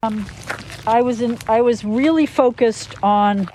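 A woman being interviewed as an executive director, speaking casually with halting, hesitant phrasing. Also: a little high, dark tone, slight background noise.

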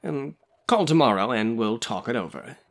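A man reading a story aloud voices a spoiled, flighty rich young woman who gives orders in a raised, pitched-up voice. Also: lively intonation, normal speed, gravelly. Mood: imperious.

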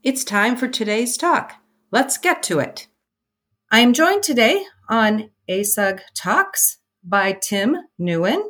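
A woman hosting an interview podcast, asking her guest clear, measured questions. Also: medium pitch, fairly bright tone. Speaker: podcast host.

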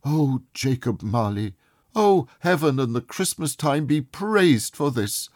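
A man voicing an elderly reformed miser, breathless and overjoyed, exclaiming in a high, excited voice. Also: unhurried, husky, no echo, studio quality, exaggerated voice.

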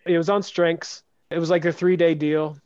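A man hosting a podcast interview, talking in a soft, hesitant way with many restarts. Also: on the high side, flat delivery, normal speed, dry recording, highs cut off. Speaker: podcast host. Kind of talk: casual interview chat.

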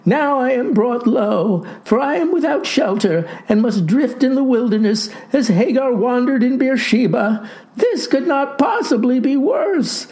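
A woman's voice: sits high, expressive, unhurried, warm tone, voice pushed up. A woman voicing a humiliated, self-pitying boy who wails in florid, overwrought phrases for a comic story. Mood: despairing.

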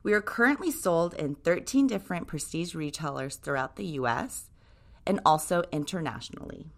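A woman's voice: deep voice, expressive, normal speed. A woman in her thirties from Japan, hosting a podcast and reading a scripted monologue in an upbeat, enthusiastic way. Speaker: podcast host, brand co-creator.

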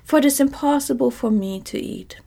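A woman's voice: high-pitched, a little fast, creaky. A woman whispering a simple pumpkin-headed man. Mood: earnest. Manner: soft whispered reading.

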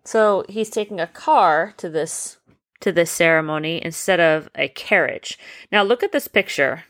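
Mom reading a bedtime story, slightly low voice, stops to explain and ask stuff. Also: female voice, measured pace, fairly bright tone. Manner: explaining, checking with questions. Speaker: parent reading bedtime stories to children.

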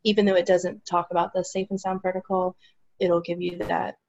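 A woman's voice: fairly low pitch, level tone, brisk, a little mellow, dull audio. A woman guest talking earnestly and with enthusiasm, letting her sentences trail off, heard over a remote call. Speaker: guest, support group organizer. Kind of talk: casual interview chat.

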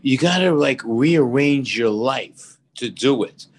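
A man's voice: mid-range voice, lively intonation, takes it slowly. A man in his seventies giving casual advice on a talk show in a rambling, folksy way. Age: 70s. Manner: rambling, folksy, repeats "you know". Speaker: expert co-host.

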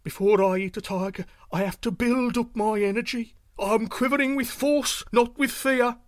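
Man voicing a squeaky scornful little deer, bragging, storybook trickster, dry close sound. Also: fairly even intonation, normal speed, no room sound. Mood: blustering. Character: tiny boastful male spotted deer trickster.

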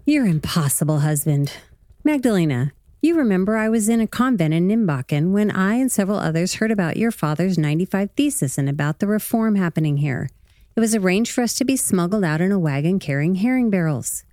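A woman playing an affectionate, gently chiding wife and mother, a former nun, explaining patiently in a radio drama. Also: lowish voice, lively intonation, a little fast, dry recording, studio quality, close to an everyday voice. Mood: fond exasperation. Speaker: actor in an audio drama.

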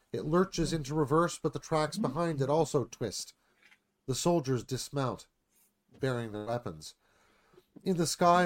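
Male narrator, lively swinging delivery, dramatic reading of stage directions. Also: medium pitch.

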